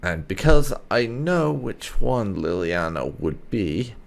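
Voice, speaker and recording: A man doing casual playthrough commentary as a gaming host, musing aloud in an unhurried way as he plays. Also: on the low side, expressive, vocal fry.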